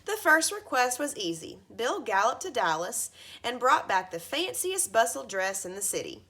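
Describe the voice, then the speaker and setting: A woman in her thirties reading a children's storybook aloud like a teacher, with expressive emphasis at the starts of phrases. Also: a little high, moderate pace. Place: carpeted living room at home, indoors.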